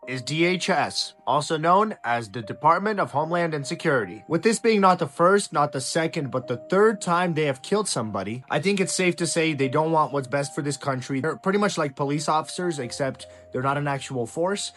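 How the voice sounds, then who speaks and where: A man's voice: fairly high pitch, lively intonation, fairly quick, few pauses, fairly bright tone, faint music behind. A young man from Ukraine giving opinionated political commentary in an emphatic, indignant way, swearing now and then. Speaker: YouTube commentator.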